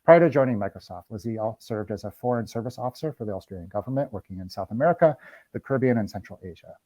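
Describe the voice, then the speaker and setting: A man moderating an online panel, reading prepared remarks in a measured, lightly formal way with occasional fillers. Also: on the low side, animated, dark tone, no room sound, low-quality recording. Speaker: moderator of a webinar.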